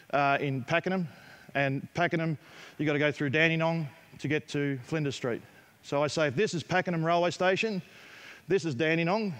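A middle-aged man with an Australian accent, coaching players in a gym with brisk, direct instructions. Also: a little high, fairly bright tone. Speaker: basketball coach. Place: large indoor basketball gym. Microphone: headset mic.

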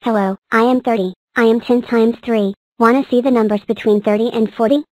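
A woman with a fairly high voice reads cartoon lines and explains sums. The recording is dry and close. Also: fairly even intonation, a little fast, a little mellow, dry recording. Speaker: voice of number characters. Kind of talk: fan cartoon voice-over.

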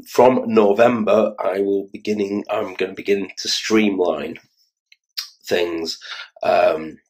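Slow, deep-voiced tired Irishman. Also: darkish tone, rough recording. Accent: Irish, Dublin. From Ireland. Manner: rambling, halting, self-mocking. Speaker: children's entertainer and vlogger. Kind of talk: casual talk to camera. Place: small room indoors, in an office chair. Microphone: phone camera held close.

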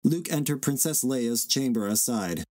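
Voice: medium pitch, expressive, moderate pace, a little mellow, no room sound. Dry-sounding reader of stage directions, man. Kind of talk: scripted narration of stage directions.

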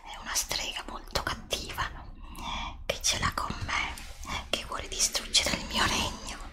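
A solemn princess played by a woman whispers in a bright, crisp hush. She pleads dramatically for her threatened kingdom, as in a fairy-tale ASMR roleplay, close to the mic. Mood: solemn, grave. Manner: slow, hushed, dramatic pleading. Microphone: close desktop USB microphone.

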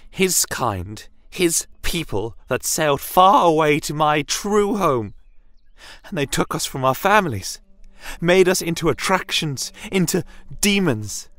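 A man's voice: a little high, fairly bright tone, no room sound, pitched above the performer's own voice. A man plays a female satyr mother whose raised voice shakes with fear and anger. She is bitter and accusing, a fantasy storybook creature heard over faint music. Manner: shaky, angry.

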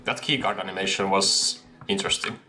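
A young man in a headset commenting casually on a game he is playing, excitable and slangy, laughing often. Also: low voice, a little fast, slight background noise. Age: young adult. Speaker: gaming streamer. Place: small room at a desk.

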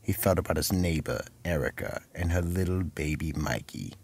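A man delivering scripted narration for a radio mystery in a measured, grave manner. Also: sits low, creaky, darkish tone, breathy edge.

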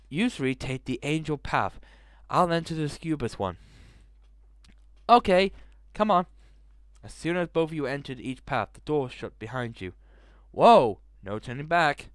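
A young man with a headset mic in a small bedroom, reading a visual novel aloud in a hushed voice and breaking into loud reactions. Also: mid-range voice, clean tone, dry recording, studio quality. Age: young adult. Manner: reads narration in a hushed tone, reacts with loud outbursts. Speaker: gaming video host.

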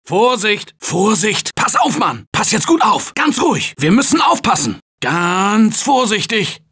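A male actor playing a confident, energetic survivor in a German video game dub, shouting warnings and calling directions. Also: high-pitched, a little slow, slightly rough, heavily acted. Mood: urgent. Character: upbeat male office worker survivor, confident. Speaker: game character.

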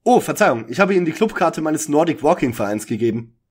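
A man's voice: a little high, sing-song, fast, breathy edge. A man playing a skeptical, blunt schoolboy detective in a parody detective audio play. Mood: flustered.

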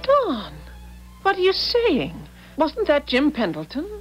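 An actress in a 1970s radio drama playing a gentle, fretful, long-suffering wife in a genteel theatrical manner. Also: female voice, high voice, wildly swooping pitch, takes it slowly, exaggerated voice. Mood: anxious. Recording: 1970s radio broadcast, music behind.

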